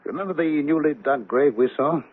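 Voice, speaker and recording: Courteous banker, tinny old radio. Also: male voice, fairly high pitch, a little fast, breathy edge. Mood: earnest. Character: courteous, troubled, well-off older male banker. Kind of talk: old-time radio drama acting. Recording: tinny band-limited radio drama recording.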